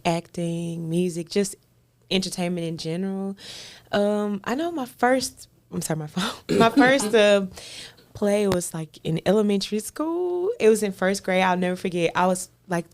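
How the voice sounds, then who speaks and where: An American woman speaking as an interview guest, telling personal stories in an expressive, heartfelt way with emphatic repetition. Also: medium pitch. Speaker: stage actress, guest. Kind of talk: conversational storytelling in an interview.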